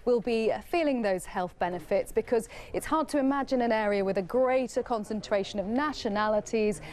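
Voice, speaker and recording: A woman news presenter walking through a large newsroom, talking brisk and conversational live to camera. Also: fairly high pitch, darkish tone. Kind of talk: live walkabout news report. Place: large open-plan newsroom.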